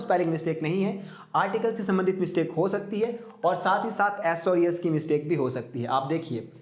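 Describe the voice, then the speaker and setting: A man teaching an English grammar class in Hindi, reading example sentences out slowly and deliberately. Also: a little high, roomy sound. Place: classroom with a board.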